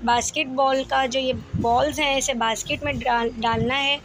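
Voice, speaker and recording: A woman's voice: sits high, steady tone, normal speed, a little hiss or hum. A woman in her thirties with a Karachi Urdu accent, narrating a family mall outing in a chatty voice-over full of fillers. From Pakistan. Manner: chatty, leans on filler "jo hai" constantly. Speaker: vlogger. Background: music.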